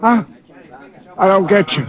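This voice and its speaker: Dim, high-voiced boastful American prizefighter. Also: male voice. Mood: gruff, wary. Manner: slow-witted, slangy, ungrammatical. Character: dim, boastful prizefighter, slow-witted palooka. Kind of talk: old-time radio detective drama acting. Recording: muffled old radio broadcast recording.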